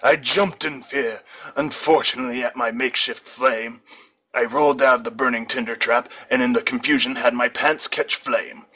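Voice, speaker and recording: A man's voice: medium pitch, fairly even intonation, normal speed, sharp tone, husky. A man reading fan fiction aloud as an amateur, now and then stumbling over words and correcting himself. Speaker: amateur story reader.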